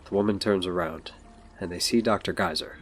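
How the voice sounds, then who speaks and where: A man's voice: sits low, a little fast, slight background noise. A man delivering scripted narration as the narrator of an audio drama.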